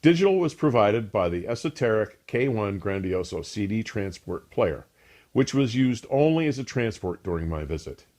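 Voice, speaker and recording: A man's voice: fairly low pitch, darkish tone. A man hosting a scripted audio gear review, speaking in an emphatic, polished, enthusiastic way. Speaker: audio equipment reviewer and show host. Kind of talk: scripted product review narration.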